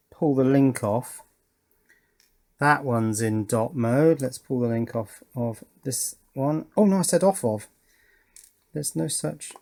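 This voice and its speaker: A man giving a casual, unscripted hands-on demonstration as an electronics hobbyist, thinking aloud and hesitating as he goes. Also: mid-range voice.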